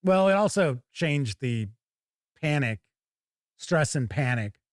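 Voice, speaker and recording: An American man hosting a casual livestream, chatting to viewers in a folksy, rambling way with drawn-out phrases. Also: fairly high pitch, expressive, dry recording, clean studio sound. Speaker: host of a tabletop gaming livestream.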